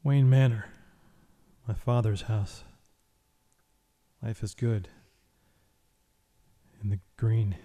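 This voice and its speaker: A man acting in an audio drama as a wealthy man under a hypnotic spell, hazy and halting. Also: on the low side, steady tone, warm tone, no room sound. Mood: dazed, dreamy. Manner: halting, stumbling repetitions.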